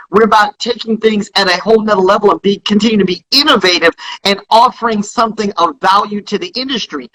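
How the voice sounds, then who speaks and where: A man with a high, husky voice talks as a guest in a live-stream interview. His delivery is bright and forceful, built on emphatic, motivational repetition like a salesman's pitch. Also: rough recording. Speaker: business founder being interviewed. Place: Instagram live call by phone. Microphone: phone.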